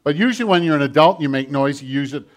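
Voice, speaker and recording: An older man preaching a sermon with a children's message, animated and storytelling with a big voice. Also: a little high, rapid-fire.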